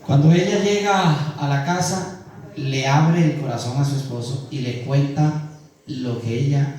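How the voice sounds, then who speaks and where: A man's voice: medium pitch, warm tone, slight background noise. A middle-aged man with a Colombian Caribbean coast accent preaching a sermon as pastor, earnest and colloquial, asking the congregation questions. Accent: Colombian Caribbean coast (costeño). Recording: very echoey church hall, mic far off.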